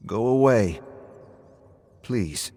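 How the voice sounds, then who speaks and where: A man's voice: on the low side, animated, extremely slow, a little mellow, no room sound, heavily acted. A man voicing a beaten, proud, surly young man who speaks curtly and dismissively in a story reading. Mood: irritated. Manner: curt, dismissive.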